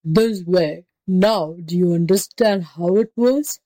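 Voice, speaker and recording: A woman's voice: medium pitch, slow, warm tone, no room sound, poor audio. A growling male tiger out of a fairy tale, performed by a woman. The savage beast is haughty and bullying, pressing each word with exasperated force in a dry, close recording. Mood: impatient.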